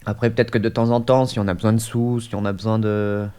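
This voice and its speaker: A man's voice: lowish voice, flat delivery, fast, dark tone, clear, resonant tone. A man with a head cold, chatting casually through a gaming session at his desk mic, hesitant and full of fillers. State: stuffy, has a cold. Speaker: gaming YouTuber. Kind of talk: casual let's-play commentary.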